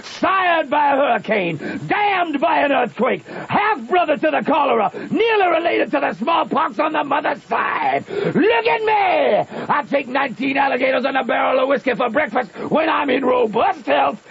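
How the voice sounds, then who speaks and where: A man's voice: extremely high pitch, very animated, normal speed, creaky, hardly any pauses, fairly bright tone, raised voice, a little hiss or hum, exaggerated voice. An actor playing a boastful wild frontier raftsman, bellowing bombastic tall-tale brags in an old-time radio drama. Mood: wild boasting. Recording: muffled old radio recording.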